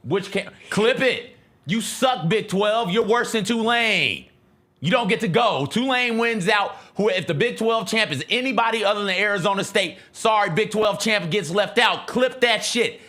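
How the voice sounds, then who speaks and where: A man's voice: high voice, expressive, normal speed, pushed and bright. A man co-hosting a casual sports talk show, talking in combative, slangy banter with mock rants and swearing.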